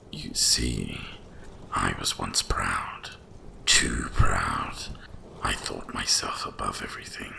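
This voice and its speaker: A man voicing an ancient, cursed winter dragon in a hushed ASMR fantasy monologue, with a gravelly, breathy rumble and slow, mysterious storytelling. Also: heavily acted. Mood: wistful, solemn. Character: ancient cursed male winter dragon, wise, once proud, brooding.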